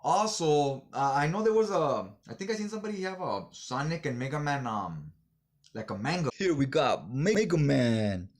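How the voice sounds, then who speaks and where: A man's voice: a little high, sing-song, normal speed. A man chatting casually in slangy, informal fan talk as he reviews things for a vlog. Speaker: comic book haul reviewer.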